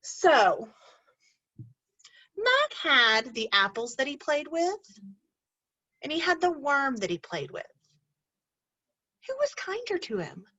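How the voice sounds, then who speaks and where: A lively woman teacher reads a storybook aloud to young children, her voice swooping up and down as she asks them questions. Her voice sits fairly high. The recording sounds dull and lo-fi. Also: takes it slowly, pauses often. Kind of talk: reading a picture book aloud to children.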